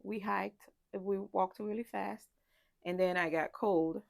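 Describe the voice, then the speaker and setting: A woman's voice: mid-range voice, steady tone, unhurried, creaky, no room sound, studio quality. A woman with a congested, stuffy voice chatting casually as a travel vlogger, rambling with many ums and restarts. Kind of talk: casual packing chat to camera. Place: ship cabin.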